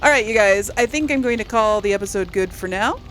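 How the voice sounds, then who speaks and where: A woman's voice: mid-range voice, a little fast, fairly bright tone, slight background noise, rough recording. A woman hosting a casual let's play, chatting to viewers and thinking aloud in a tentative way. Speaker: gaming video host and player.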